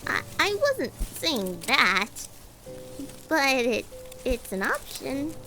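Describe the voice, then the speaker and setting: A woman's voice: sits high, wildly swooping pitch, takes it slowly, music in the background, exaggerated voice, poor audio. A woman voicing an anxious, timid monster scientist in an audio drama, apologetic and stammering heavily. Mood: hesitant. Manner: nervous, heavy stammer.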